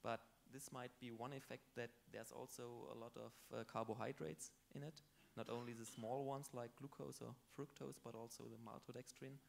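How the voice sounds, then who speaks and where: Gravelly, flat-voiced man explaining. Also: mid-range voice, normal speed, hardly any pauses, slight background noise. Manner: explanatory, matter-of-fact. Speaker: panelist. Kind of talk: panel discussion answer. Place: large conference hall stage. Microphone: handheld microphone.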